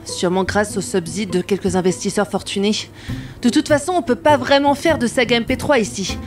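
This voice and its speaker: A woman's voice: mid-range voice, brisk, over music, close to an everyday voice. A woman playing a laid-back start-up colleague in a comedic audio drama, casual and unbothered. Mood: nonchalant. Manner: casual, nonchalant.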